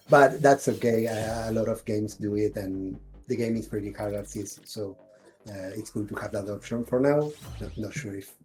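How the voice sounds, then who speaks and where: Argentine man, warm, halting explanations. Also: fairly low pitch, poor audio. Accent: Argentine Spanish. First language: Spanish. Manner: halting, frequent restarts and laughs while explaining. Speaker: game developer guest. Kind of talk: casual video call interview.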